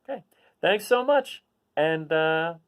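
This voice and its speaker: A man's voice: a little high, animated, slow, clear, resonant tone. A man reading a children's picture book aloud, adding casual asides and putting questions to his listeners. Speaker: read-aloud host.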